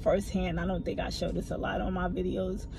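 Middle-aged woman vlogger, casual storytime from her car seat, low voice, expressive. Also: a little fast, vocal fry, background noise. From family from the American South. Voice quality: low voice that people find hard to hear. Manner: animated, talks with her hands. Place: parked car, inside. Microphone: phone camera mounted in front of her.

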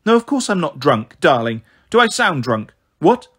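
A man's voice: a little high, big pitch swings, normal speed, a bit bright, husky, exaggerated voice. A man voicing a volatile, fragile mother in a storybook, coaxing or defeated.

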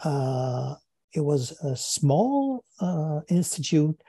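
Older French-Canadian man, husky and dark. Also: fairly high pitch, very animated, no echo, clean studio sound. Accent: Quebec French. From Quebec, Canada. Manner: thoughtful, halting, repeats words while searching. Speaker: guest philosopher. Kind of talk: unscripted interview answer. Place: home study with bookshelves. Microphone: earphone mic on video call.

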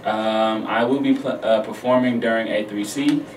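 An American man in his thirties from Detroit, a guest musician chatting casually in a radio interview, pausing between phrases. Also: lowish voice, steady tone. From Detroit, United States. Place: small radio studio. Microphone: studio boom microphone. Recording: roomy, distant mic, faint noise.

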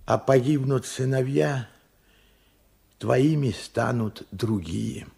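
Husky, gravelly old veteran, slow and impassioned. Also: male voice, mid-range voice, dark tone, heavily acted. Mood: earnest, subdued. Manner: dramatic, fervent, halting delivery. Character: old grandfather, war veteran, tender and impassioned. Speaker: radio drama actor. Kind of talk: Soviet radio play acting. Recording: vintage radio play with music underneath.

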